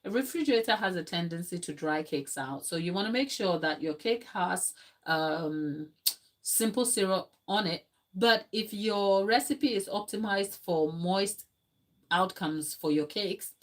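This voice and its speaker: Casting: middle-aged Ghanaian-accented woman, non-native speaker, slightly low voice, informal live-stream instructor talking viewers through each step. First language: not English. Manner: explanatory, chatty, addressing viewers. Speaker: cake decorating instructor. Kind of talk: casual live-stream tutorial. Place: home kitchen, indoors.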